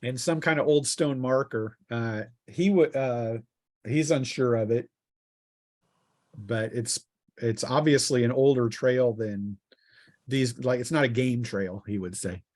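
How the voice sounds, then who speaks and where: A man casually narrating a tabletop game as game master, often halting to think aloud. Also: mid-range voice, darkish tone.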